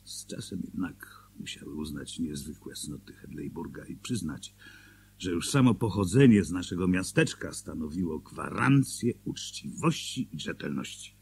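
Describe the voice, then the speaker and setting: Pompous, gravelly mayor. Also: male voice, low-pitched, lively intonation, normal speed, a little mellow, exaggerated voice. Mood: proud, emphatic. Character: proud, self-important former small-town mayor. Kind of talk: radio drama storytelling.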